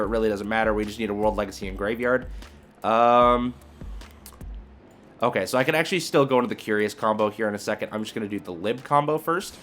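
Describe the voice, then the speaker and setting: A man's voice: lowish voice, a bit bright. A man giving casual gameplay commentary, informally thinking aloud as he goes step by step. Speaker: video creator commentating on own card game play. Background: background music.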